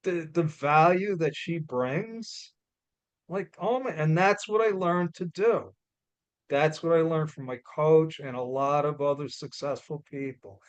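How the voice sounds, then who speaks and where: A man with a New York accent speaks loudly and bluntly, with emphatic motivational punch. He talks slowly, and his voice is a little high for a man. The sound is dry and close, with almost no room echo. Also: clean tone. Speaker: guest speaker. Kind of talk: motivational talk.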